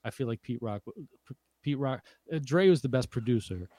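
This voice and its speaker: A man co-hosting a casual chat about hip hop, relaxed and thoughtful, often murmuring agreement. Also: mid-range voice, a little fast, no room sound.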